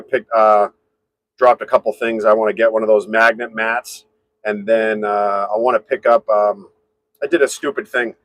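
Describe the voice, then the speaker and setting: A man with a very loud voice chats casually on a live stream, rambling from topic to topic and answering comments. His voice sits at an ordinary middle pitch. The sound is dry and close. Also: no room sound, rough recording. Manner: rambling, chatty, reads and answers viewer comments. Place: home garage.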